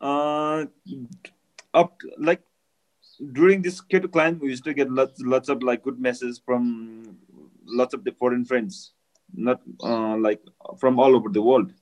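A man with a Nepali accent, a native Nepali speaker, answering interview questions in halting, simple English. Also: mid-range voice, fairly even intonation, a little mellow, poor audio. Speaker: interview guest, mountaineer. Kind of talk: casual interview.

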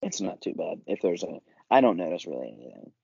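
A teenage boy co-hosting a casual sports podcast, still recovering from an illness and clearing his throat, talking in a relaxed way with many fillers. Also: sits low, fast talker, dry recording. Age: teens. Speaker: podcast co-host. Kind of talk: casual sports chat and news rundown. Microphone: basic home podcast setup. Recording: dull lo-fi dry recording.

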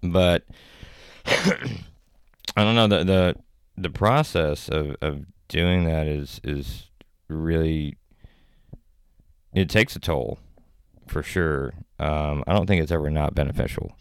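Deep, gravelly male guest with a laid-back, rambling delivery on a close, dry podcast mic. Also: takes it slowly, no echo. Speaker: actor and filmmaker guest. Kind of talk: casual podcast chat.

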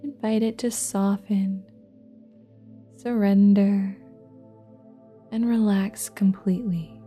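A woman leading a guided relaxation meditation in a soft, gentle, breathy and soothing voice, leaving long pauses between phrases. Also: medium pitch, fairly even intonation. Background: soft new-age music with singing bowls.

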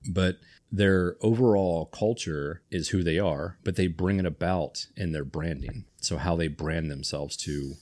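Very deep-voiced male podcast host. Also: unhurried, darkish tone. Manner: rambling, self-correcting, enthusiastic. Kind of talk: casual chat.

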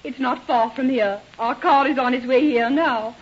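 An actress playing a fussy, imperious middle-aged society matron in a haughty radio-drama style. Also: female voice, high voice, normal speed, slightly rough. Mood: flustered. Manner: haughty, commanding, snobbish. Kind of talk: 1930s radio drama acting. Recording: muffled old radio recording.

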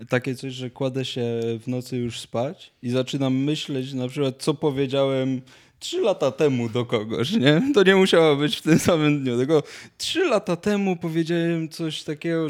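A young man in casual podcast conversation, speaking in a hesitant, self-reflective way. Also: mid-range voice. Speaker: podcast co-host.

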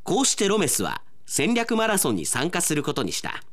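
A man's voice: sits high, wildly swooping pitch, brisk, fairly bright tone. A male announcer reading scripted narration for a radio drama.

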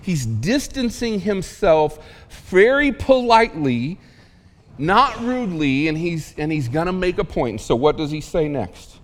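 Man preaching, fairly high voice, chatty and emphatic, long church reverb. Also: big pitch swings, measured pace. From Oklahoma. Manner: conversational, emphatic preaching with asides. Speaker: pastor. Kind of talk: sermon.

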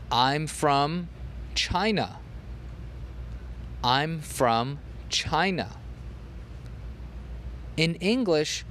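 Male podcast tutor, repeats phrases patiently, unhurried pace and a lively, rising-and-falling voice. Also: mid-range voice, slight background noise. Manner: repeats example phrases slowly for learners. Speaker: language teacher. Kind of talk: podcast language lesson.

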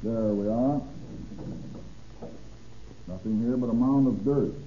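An actor playing a matter-of-fact private detective sidekick in 1930s radio drama style. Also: male voice, mid-range voice, takes it slowly, lightly acted. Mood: curious, casual. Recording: muffled noisy old radio recording.

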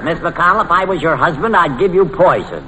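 An actor playing an exasperated, mock-formal quizmaster on an old radio comedy show. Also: male voice, fairly high pitch, sing-song, a little fast, crowd noise. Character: exasperated male quizmaster, sparring with dim panelists. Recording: noisy old radio broadcast recording.

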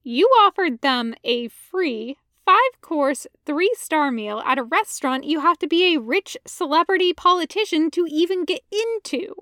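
Reader lady doing a blunt no-nonsense young woman in a story, pitched high, dry audio. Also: animated, normal speed, few pauses, sharp tone, no echo. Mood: exasperated. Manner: blunt, interrogating, incredulous. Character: sharp, exasperated young woman, no-nonsense sidekick. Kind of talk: storybook character voice.

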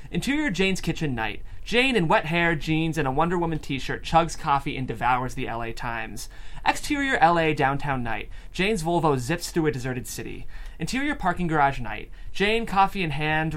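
A man's voice: fairly high pitch, a bit bright. A man from the American Midwest hosting a table read and narrating the stage directions. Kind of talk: animated script narration.

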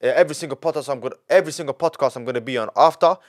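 A nineteen-year-old man, a podcast guest telling his story in an animated way, full of repetition and street slang. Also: medium pitch, very fast. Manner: animated, repeats himself, heavy street slang. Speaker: young entrepreneur guest.

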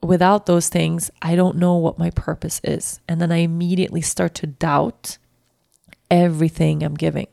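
Woman, Swedish accent, podcast host, candid and halting, fry-laden lower voice. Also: level tone, no echo, studio quality. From Sweden. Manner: candid, halting, self-reflective with frequent restarts and soft laughs. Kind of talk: personal reflective monologue.

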